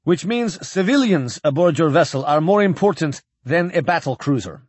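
A cold, commanding admiral of a space navy, acted by a male audiobook reader. He speaks in formal, clipped phrases, pitched fairly high and unyielding. The recording is dry and close. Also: normal speed, husky, no echo. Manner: flat, formal, clipped diction. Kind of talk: audiobook character dialogue.

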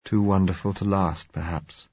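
A man reading an audiobook, voicing a blind young man who speaks softly and reassuringly. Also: sits low, normal speed, husky, no echo, highs cut off. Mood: tender. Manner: soft, reassuring. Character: gentle blind young man, calm and tender.

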